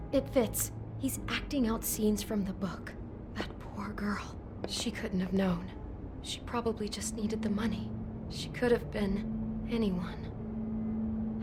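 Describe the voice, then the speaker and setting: A woman playing a shaken, sharp-tongued young hotel desk worker in a video game, anxious, questioning and blunt. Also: mid-range voice, steady tone, takes it slowly, in a whisper, lightly acted. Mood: sad, hushed.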